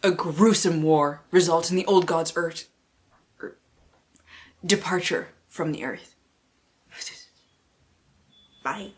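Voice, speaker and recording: A woman's voice: lowish voice, slow, pauses often. A woman book vlogger who mixes casual, slangy chat with mock-dramatic blurb reading and playful, sweary asides to herself.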